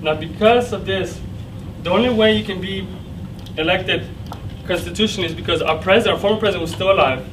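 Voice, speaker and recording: A man's voice: high-pitched, brisk. Ukrainian-accented young man presenting at a distance. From central Ukraine. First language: Russian. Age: young adult. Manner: explanatory, with frequent fillers and restarts. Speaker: student presenter. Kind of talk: classroom presentation with slides. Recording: distant mic with clear background noise.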